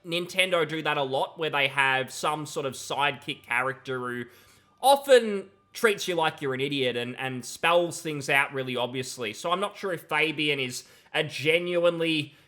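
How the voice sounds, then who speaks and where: A man's voice: fairly high pitch, normal speed, bright, forceful tone. A young adult man giving casual, opinionated reaction commentary in a sardonic, dismissive manner, on a close mic in a small room. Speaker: video commentator. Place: small plain room indoors. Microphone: close boom-arm mic, headset on.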